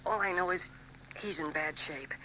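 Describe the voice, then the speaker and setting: An excitable young copyboy. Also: male voice, fairly high pitch, normal speed, slight background noise, poor audio. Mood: anxious. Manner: eager, excitable, boyish. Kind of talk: 1940s radio acting.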